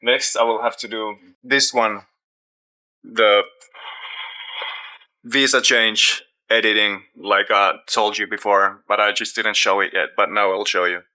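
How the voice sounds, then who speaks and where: A man's voice: on the low side, little pitch movement, measured pace, sharp tone, rough recording. A man with a Finnish accent chatting casually and bluntly as a vlogger, swearing freely in an informal way. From Finland. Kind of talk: casual chat to camera. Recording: clear background noise, traffic and ambience.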